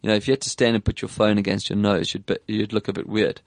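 A man with an English South African accent hosting a casual tech chat, animated and quick to put on voices when quoting people. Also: fairly low pitch, no echo. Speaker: podcast host.

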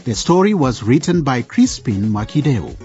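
A man's voice: medium pitch, sing-song, normal speed, warm tone, highs cut off, soft background music. A man narrating a radio drama as a storyteller addressing listeners in scripted style. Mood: storytelling.

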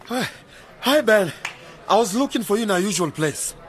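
An actor playing a skeptical, sober-minded young graduate man in a radio drama, arguing and questioning, with a husky voice. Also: sits high, expressive, moderate pace. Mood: hurried. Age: young adult. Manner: questioning, argumentative.

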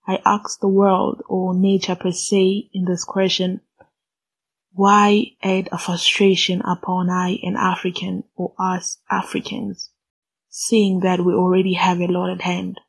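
A young woman poet from Congo, a native Swahili speaker, speaks with a breathy, husky voice. Her delivery is measured and solemn, and it stays level with little rise or fall. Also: lowish voice, completely flat delivery, low-quality recording. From Democratic Republic of Congo. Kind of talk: spoken word poetry and introduction.

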